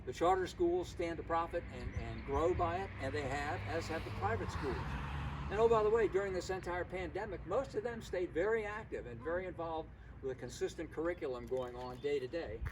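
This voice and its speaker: An older man speaking out as a concerned citizen, emphatic and deliberate, in an informal impassioned style. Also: a little high. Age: older, 60s or above. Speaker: concerned local citizen at a protest. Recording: outdoor, distant mic, loud traffic noise. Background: vehicles and outdoor ambience.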